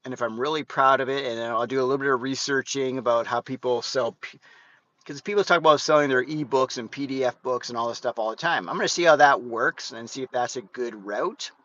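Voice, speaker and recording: A man's voice: mid-range voice, fairly quick, sharp tone, no echo, dull audio. Rambling man in his fifties, driving. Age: 50s. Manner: rambling, chatty, frequent restarts and "guys". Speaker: fitness vlogger. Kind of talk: casual live vlog while driving. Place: inside a moving car. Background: faint car and road noise.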